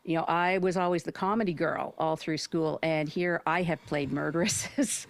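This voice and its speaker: A woman's voice: lowish voice, vocal fry, no echo. A woman who performs in audio drama, talking personally and conversationally on a panel.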